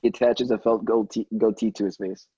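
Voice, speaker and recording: A man's voice: on the low side, fairly even intonation, fairly quick, dark tone, slightly rough, no room sound, highs cut off. A man reading scripted stage directions as narrator of a table read.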